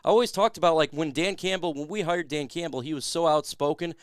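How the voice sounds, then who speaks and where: A man's voice: a little high, quick pace, smooth, dry recording, studio quality. A man hosting a casual sports talk show who is losing his voice, speaking emphatically and reading out viewer questions. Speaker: podcast host.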